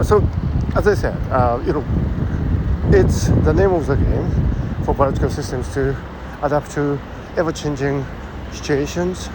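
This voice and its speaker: A man with a Japanese accent, thinking aloud in a halting way, full of fillers, while walking along a busy city street. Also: fairly high pitch. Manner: thoughtful, halting, many fillers. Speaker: vlogger. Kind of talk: musing monologue while walking. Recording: loud street traffic behind.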